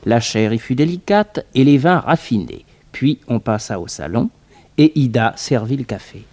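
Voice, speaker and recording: Mid-pitched man narrating a radio serial. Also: expressive, moderate pace, a little mellow, slight background noise. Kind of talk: scripted narration of a 1960s French radio serial.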